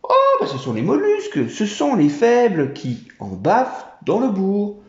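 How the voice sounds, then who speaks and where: A man's voice: high-pitched, wildly swooping pitch, measured pace, voice pushed up, exaggerated voice. A man voicing a fawning, chattering male monkey general who collects taxes. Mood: boastful. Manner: obsequious, chattering. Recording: roomy, dull home recording.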